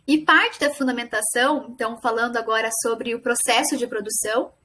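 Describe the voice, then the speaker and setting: High-pitched young Brazilian woman presenting. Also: fairly even intonation, brisk, sharp tone. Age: young adult. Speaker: student presenting a final project. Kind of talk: academic presentation.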